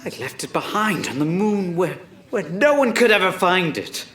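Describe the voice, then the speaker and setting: An actor playing a guilty, greedy male scientist coming apart, stammering through a halting, manic confession in an audio drama. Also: medium pitch, sing-song, fairly bright tone, echoey room. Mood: shaken, confessing.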